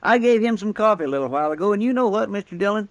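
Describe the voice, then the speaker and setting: An actor playing a chatty, deferential frontier deputy with an in a 1950s radio western. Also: male voice, high voice, expressive, very fast, no echo, muffled recording. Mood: folksy, wry. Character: folksy, talkative, loyal frontier deputy.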